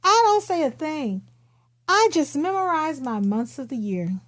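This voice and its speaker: A quiet, earnest kindergarten girl tells her storybook tale , read by a woman. The voice is high and the phrasing simple and expressive. It sounds dry, with hardly any room. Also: measured pace, no room sound. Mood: earnest, matter-of-fact.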